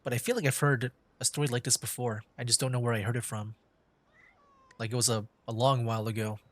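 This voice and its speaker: A man's voice: medium pitch, level tone, fast, no room sound. Casual, rambling male game streamer. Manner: rambling, hesitant, frequent "like". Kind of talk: casual gaming commentary. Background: faint game music.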